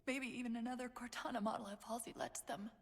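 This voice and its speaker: An actress voicing a sharp-witted female AI companion in a video game cutscene, her quick quips giving way to hushed, fragile murmurs. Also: fairly high pitch, fairly even intonation, slight background noise, lightly acted. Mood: hushed, sad. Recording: long reverb game cutscene mix.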